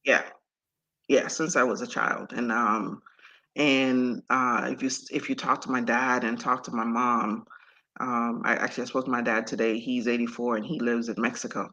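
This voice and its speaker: A middle-aged woman from Antigua with a deep voice, talking as a guest in an interview in an animated, emphatic way. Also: moderate pace, lo-fi sound. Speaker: guest speaker and coach. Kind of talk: conversational interview.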